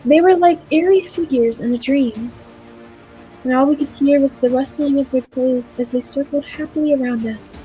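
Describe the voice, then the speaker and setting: A woman playing a gentle, contented time traveler who tells the tale in a measured, wistful way, in 1940s radio play style. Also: sits high, natural voice. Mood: wistful narration. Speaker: amateur drama club actor. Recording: roomy echo with faint music.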